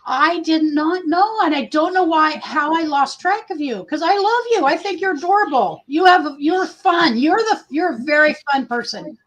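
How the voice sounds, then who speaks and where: A woman's voice: high-pitched, animated, fairly bright tone, rough recording. A woman with a big voice hosting a casual livestream, rambling and correcting herself as she talks. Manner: rambling, self-correcting, many pauses. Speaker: host of a livestream.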